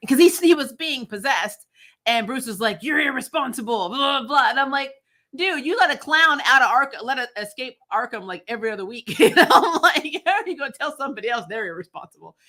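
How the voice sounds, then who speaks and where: A woman's voice: sits high, a little fast. A woman in casual, opinionated conversation, arguing bluntly and swearing freely. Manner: blunt, animated arguing, sweary, laughs often. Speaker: host of a comics and film review channel.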